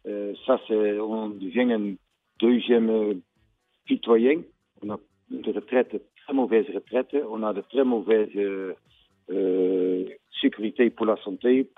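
An older man farmer from the Netherlands with a Dutch accent, speaking plainly and emphatically in a radio interview, pausing often with fillers. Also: lowish voice, dry recording. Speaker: fruit farmer. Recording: tinny phone-like radio line.

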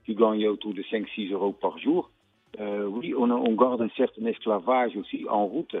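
An older man farmer from the Netherlands with a Dutch accent, speaking plainly and emphatically in a radio interview, pausing often with fillers. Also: on the low side, steady tone, dry recording, soft background music. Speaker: fruit farmer. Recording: tinny phone-like radio line.

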